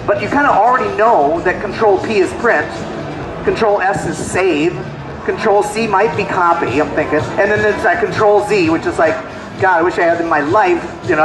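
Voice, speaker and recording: Chatty high-voiced man demoing in a hall. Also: brisk. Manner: chatty, self-deprecating, joking asides. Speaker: software product specialist. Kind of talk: live trade-show clinic demo. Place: large convention hall. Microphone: handheld mic. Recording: echoey hall with music behind.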